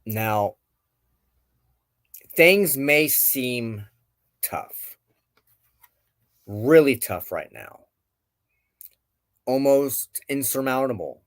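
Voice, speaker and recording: Slow-spoken man giving a tarot reading. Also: medium pitch, animated, no room sound, clean studio sound. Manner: deliberate phrasing with frequent pauses. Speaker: host of a spiritual tarot show. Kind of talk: tarot card reading, reflective spoken commentary.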